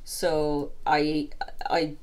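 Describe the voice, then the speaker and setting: A woman from South America, a native Spanish speaker, chats as a guest in English. Her voice is deep for a woman, and she circles through her thoughts with frequent restarts and pauses. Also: level tone, darkish tone. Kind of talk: casual chat.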